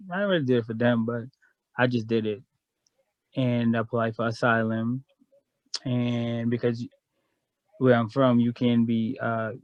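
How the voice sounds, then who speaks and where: A man's voice: medium pitch, no echo, lo-fi sound. A man from Guyana, a guest in a casual chat, telling a long story in a frank, rambling way.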